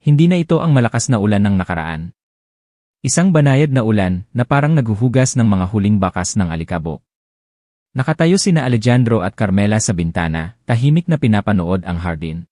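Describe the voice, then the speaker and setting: A man narrating a romance audiobook in a gentle, expressive storytelling manner. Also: lowish voice, a little fast, no echo, clean studio sound.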